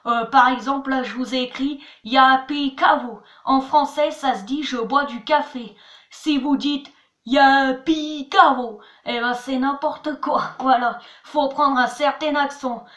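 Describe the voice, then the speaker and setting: A woman teaches a homemade lesson from her notebook in a high, bright voice. She takes her time, spelling each word out letter by letter and pausing on "voilà". Her voice rings around a bare, echoey room. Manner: chatty, repeats "voilà" and "attention", spells words letter by letter. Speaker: channel host teaching words.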